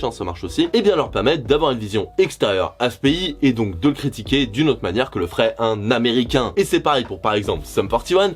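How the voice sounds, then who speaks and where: A man from France giving sarcastic, scripted comedic commentary as a YouTuber, swearing casually. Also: slightly rough. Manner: sarcastic, swears casually, animated swings in pitch. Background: jazz background music.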